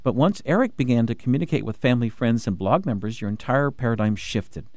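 Excited radio guy hosting his show, stumbles and restarts a lot, dry close studio sound. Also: mid-range voice, no echo. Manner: excited, rushing, many restarts and fillers. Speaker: radio host.

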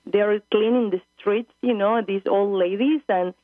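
A woman's voice: mid-range voice, a little slow, dry recording. A woman in her forties from Argentina with an Argentine Spanish accent, a native Spanish speaker earnestly searching for English words as a guest in a phone-in interview. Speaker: guest, spiritual travel leader. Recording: tinny phone line.

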